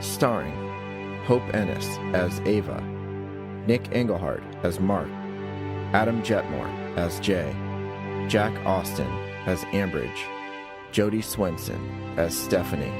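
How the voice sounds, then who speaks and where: A man reading scripted credits as an announcer in a measured, formal way. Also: low-pitched. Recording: loud music under voice. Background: loud background music.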